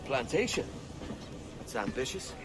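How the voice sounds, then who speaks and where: A man's voice: medium pitch, sing-song, unhurried, noisy background. A man playing a cocky, roguish young pirate captain in a video game, with a wry, swaggering manner. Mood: businesslike, curious. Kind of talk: video game cutscene acting.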